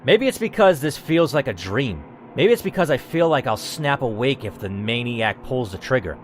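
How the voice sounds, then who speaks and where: A man's voice: medium pitch, sing-song, moderate pace, slight background noise. A man reading an interactive story game aloud and stopping to think aloud about his choices, with the odd curse. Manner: reads in first person, then breaks off to think aloud and curse. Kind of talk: reading narration with playthrough commentary.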